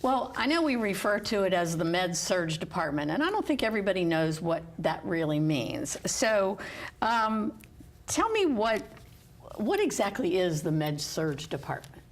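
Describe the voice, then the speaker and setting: A woman's voice: fairly low pitch, sing-song, moderate pace. An American woman from West Virginia hosting a talk show in a conversational interviewing style. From West Virginia, United States.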